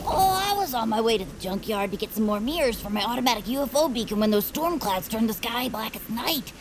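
Against a noisy background, a chirpy, eccentric best friend chatters away in an audio drama. The voice is high and lively, breezy, folksy and happily oblivious. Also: female voice, fairly quick. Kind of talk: audio fiction acting.

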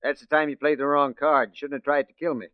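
A man plays a crafty American police detective passing as a crook in a vintage radio crime drama. He speaks deliberately and with theatrical command in a fairly high male voice. The old recording is dry and dull. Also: fairly even intonation, dry recording, close to an everyday voice. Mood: accusing, triumphant. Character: shrewd police detective posing as a crooked forger. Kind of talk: 1940s radio acting.

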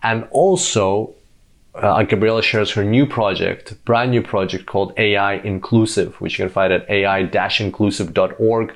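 Man, podcast host, enthusiastic, chatty, fairly low voice. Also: fairly even intonation, normal speed. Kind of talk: upbeat interview chat.

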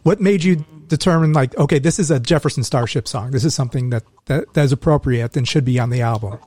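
A man's voice: mid-range voice, fast, darkish tone, slightly rough, no echo. A man interviewing a band, asking friendly, brief questions.

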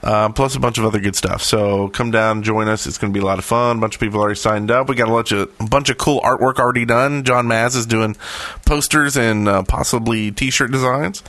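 A man's voice: medium pitch, fairly even intonation, brisk, fairly bright tone, dry recording. A man hosting a gaming podcast in a casual, chatty style. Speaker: podcast host.

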